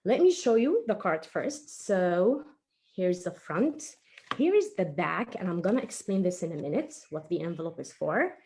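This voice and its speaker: A woman's voice: lowish voice, very animated, normal speed, dark tone, slight background noise. A woman leading a children's craft tutorial in a playful, encouraging way, speaking to young viewers as one of them. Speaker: children's craft and story presenter.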